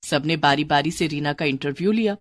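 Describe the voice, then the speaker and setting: A woman's voice: deep voice, a little fast, no room sound, studio quality. A woman narrating a scripted cartoon story for children.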